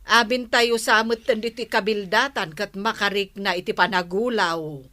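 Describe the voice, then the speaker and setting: A woman's voice: medium pitch, steady tone, moderate pace, pushed and bright, natural voice. A woman playing a nagging, exasperated wife in a comic radio drama, scolding with an Ilocano accent. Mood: nagging, weary.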